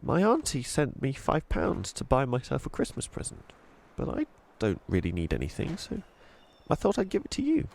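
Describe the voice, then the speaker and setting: A man's voice: mid-range voice, huge pitch swings, normal speed, gravelly, a little mellow, a little hiss or hum, pitched above the performer's own voice. A man voicing a nervous, earnest twelve-year-old girl who speaks hesitantly and politely in a storybook reading.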